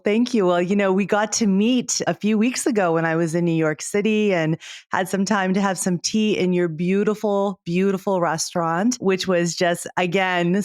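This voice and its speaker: A woman's voice: fairly low pitch, no echo, clean studio sound. A woman hosting a podcast interview, effusive and enthusiastic toward her guest. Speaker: podcast host, doctor.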